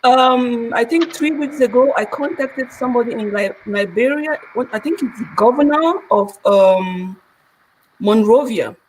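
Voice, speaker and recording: A woman's voice: fairly high pitch, measured pace, a little hiss or hum, rough recording. A woman in her thirties hosting an interview, effusive and a little hesitant. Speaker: host. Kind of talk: conversational interview.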